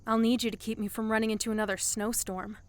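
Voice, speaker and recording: A woman's voice: fairly high pitch, level tone, fast talker, no room sound, close to an everyday voice. A woman playing a brave, determined young hero in training in a fantasy audio drama. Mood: determined, wry.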